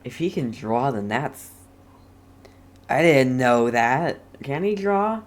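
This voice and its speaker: A game streamer chats casually through a playthrough. The delivery is slow and hesitant, as if thinking aloud, with faint background noise. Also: medium pitch, animated.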